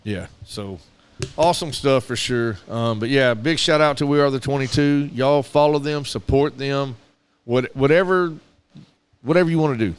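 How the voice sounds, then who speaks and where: A man's voice: medium pitch, moderate pace, clear, resonant tone. A middle-aged man with a Southern American accent, co-hosting a casual radio podcast and telling stories earnestly. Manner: earnest, storytelling, with repeated restarts. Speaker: podcast co-host.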